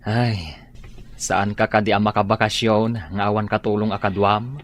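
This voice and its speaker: A man's voice: lowish voice, flat delivery, moderate pace, lightly acted. A man with a Filipino accent playing an easygoing family man in an Ilocano radio drama. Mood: agreeable.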